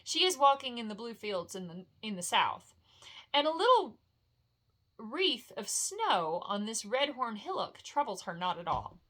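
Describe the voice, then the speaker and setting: Woman as a bright-voiced, cheerful male elf. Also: fairly high pitch, big pitch swings, unhurried, heavily acted. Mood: cheerful, teasing. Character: light-footed, airy, cheerful male elf scout.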